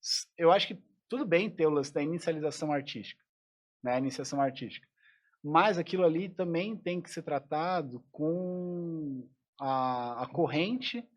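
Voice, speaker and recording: Guy, kinda high voice, musician guest, thinks out loud, lots of restarts. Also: moderate pace. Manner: reflective, thinks aloud with many restarts. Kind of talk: casual interview conversation.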